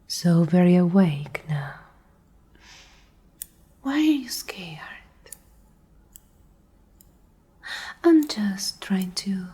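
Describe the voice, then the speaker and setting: A woman playing a menacing, domineering leader of a survivor group in a whispered ASMR roleplay, speaking in a breathy, husky voice with slow, condescending control. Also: mid-range voice, warm tone. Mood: taunting. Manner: slow, condescending, coldly threatening.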